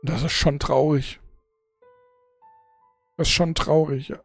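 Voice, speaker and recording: Husky, creaky voice of a forty-year-old. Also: mid-range voice, little pitch movement, dry recording, soft background music. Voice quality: husky, gravelly. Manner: halting, repetitive, searching for words; emotional, blunt, swears casually. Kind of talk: unscripted casual monologue to an audience.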